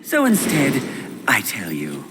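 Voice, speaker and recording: Seductive regal demon, slow teasing delivery, big pitch swoops, game cutscene. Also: male voice, huge pitch swings, long reverb tail, noisy background. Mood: coaxing, taunting. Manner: languid, smooth-talking, mocking. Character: silky, seductive, regal demonic tempter, taunting and philosophical. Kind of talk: scripted video game cutscene acting.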